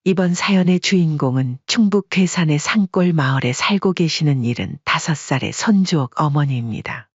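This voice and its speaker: A man narrating a family story in calm, measured audiobook style. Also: on the high side, slightly rough, no echo, dull audio.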